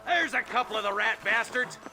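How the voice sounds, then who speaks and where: An actor playing a gruff older American lawman in a Western video game, barking orders at his posse in a folksy, commanding manner. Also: high voice, animated, fairly quick. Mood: shouting, urgent. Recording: music and gunfire behind the voice.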